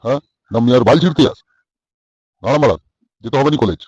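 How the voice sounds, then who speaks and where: A man's voice: mid-range voice, expressive, quick pace, darkish tone, breathy edge, dry recording, highs cut off. A man voicing an irritable, crude middle-aged village father in a comic cartoon, scolding bluntly with a West Bengal accent. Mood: gruff, scolding.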